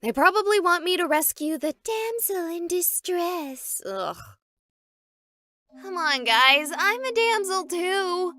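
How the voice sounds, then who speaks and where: Plucky young female streamer, bright sharp tone, high-pitched, sarcastic, excitable, visual novel game, music behind. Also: takes it slowly, no echo, heavily acted. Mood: exasperated.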